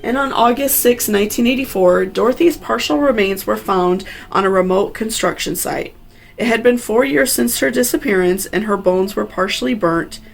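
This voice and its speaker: A woman's voice: medium pitch, moderate pace, gravelly. A woman hosting a true crime story, reading scripted narration with personal asides in an earnest, sympathetic, cautionary way.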